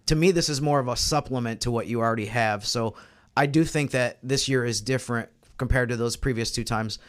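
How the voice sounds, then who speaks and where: Mid-pitched man giving sports analysis. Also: a little fast. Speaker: podcast co-host and panelist.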